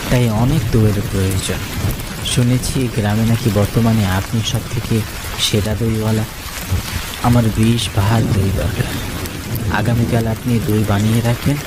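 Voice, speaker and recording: A man's voice: lowish voice, natural voice. A man playing an earnest, kindly village yogurt seller who narrates his own cartoon story in a suspenseful storytelling manner. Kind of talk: first-person cartoon story narration with dialogue. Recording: loud rain and thunder noise.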